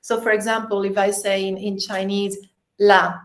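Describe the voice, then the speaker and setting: A woman's voice: medium pitch, flat delivery, normal speed. A woman born in Spain, Spanish her first language, chatting as a podcast guest, laughing often and restarting her sentences. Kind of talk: casual interview chat.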